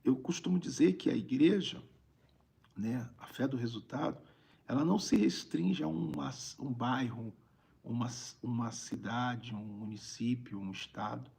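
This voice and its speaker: A Brazilian man with a raspy, gravelly voice, a preacher talking conversationally on a live stream and repeating phrases for emphasis. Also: medium pitch, measured pace, a little mellow. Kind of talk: informal livestream preaching, reading viewer greetings.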